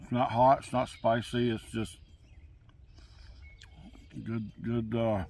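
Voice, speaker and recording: A man's voice: mid-range voice, flat delivery, slow pace, a little mellow, a little hiss or hum. Laid-back middle-aged man outdoors. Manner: rambling, laid-back, with many fillers and restarts. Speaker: camping vlogger. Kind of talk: casual outdoor cooking vlog. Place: campsite outdoors on open grass. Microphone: camera on a table nearby.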